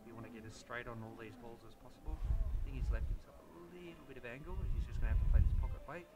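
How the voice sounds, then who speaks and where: A man's voice: medium pitch, fast, highs cut off, loud music behind the voice. An Australian man commentating a live pool match on a headset mic, chatty and explaining shots.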